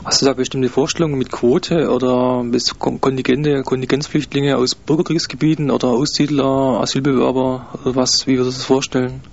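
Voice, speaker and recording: A man's voice: mid-range voice, flat delivery, a little fast, gravelly, hardly any pauses. A man interviewing someone, asking plain questions that sometimes stumble. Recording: dull lo-fi recording with faint noise.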